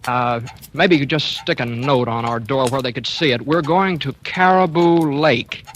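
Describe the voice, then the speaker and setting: An actor in a 1940s radio drama, narrating and playing a doctor in a tense, confiding manner. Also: male voice, medium pitch, normal speed, fairly bright tone, a little hiss or hum, natural voice. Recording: old radio broadcast with music beneath.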